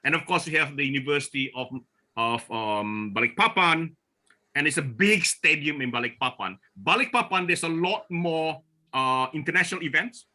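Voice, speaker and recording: A man from Borneo gives a slide talk over a video call, his voice very bright and forceful. He speaks haltingly, doubling back on his words and filling gaps with hesitations, a touch higher than most men. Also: lively intonation. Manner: halting, with frequent restarts and fillers. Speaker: forum speaker presenting slides. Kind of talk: online presentation talk.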